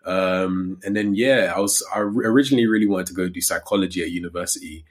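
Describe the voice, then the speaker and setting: A man's voice: deep voice, quick pace. A man in his twenties with an English accent, chatting informally and with slang as a guest in a casual interview. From Coventry, England. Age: 20s. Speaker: podcast guest, digital creator.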